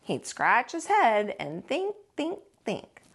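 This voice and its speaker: Lively, high-voiced woman reading a storybook. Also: takes it slowly, husky. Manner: folksy, expressive, dramatic emphasis on repeats. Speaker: teacher reading a storybook aloud. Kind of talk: animated read-aloud storytelling.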